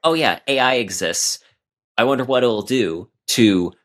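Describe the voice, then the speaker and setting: A man's voice: mid-range voice, lively intonation, unhurried, a bit bright. A man talking casually as a guest author in a podcast interview about his writing.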